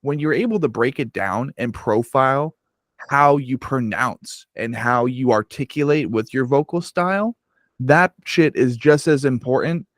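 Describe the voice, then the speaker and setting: Dry, animated podcast host, a man. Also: mid-range voice, measured pace, no echo. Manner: animated, swears freely, rambling. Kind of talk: casual interview chat.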